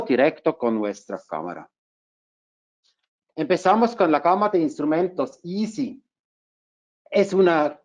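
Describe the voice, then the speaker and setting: A man from Switzerland, German by mother tongue, walks listeners through a technical webinar in a careful way, often restarting phrases. His Swiss German accent is clear, and his voice sits a little high for a man. The sound is muffled, like lo-fi webinar audio. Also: a little mellow. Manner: careful, with frequent restarts and fillers. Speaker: market manager presenting a webinar.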